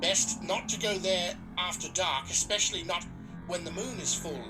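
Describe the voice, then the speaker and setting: Actress as wary village woman, medium-low voice, foreboding, cautioning, audio drama, clear plucked guitar behind. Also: moderate pace, lightly acted. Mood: ominous warning. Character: wary local villager warning of haunted stones. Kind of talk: scripted witness interview.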